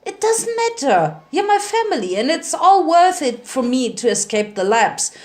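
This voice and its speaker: A woman's voice: sits high, sing-song, moderate pace, voice pushed up. A woman voicing an earnest, tender young male baby dragon in a story reading. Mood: pleading, earnest.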